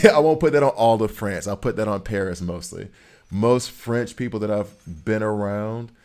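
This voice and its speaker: American guy, low deep voice, rambling and joking with chat while gaming. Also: darkish tone. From United States. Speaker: streamer chatting with viewers. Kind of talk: casual gameplay chatter.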